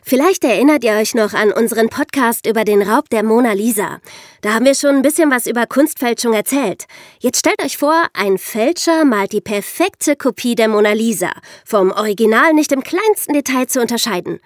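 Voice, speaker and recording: Slightly high-voiced woman explaining on a podcast. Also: animated, fairly quick, no echo, studio quality. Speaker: podcast co-host.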